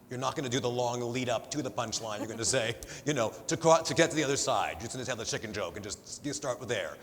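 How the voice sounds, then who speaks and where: A man with a big voice speaking on a conference panel, lively and jokey, acting out little examples. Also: medium pitch. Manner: lively, jokey, talks in asides and acted examples. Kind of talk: panel discussion. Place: large conference hall. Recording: conference hall reverb with faint room noise.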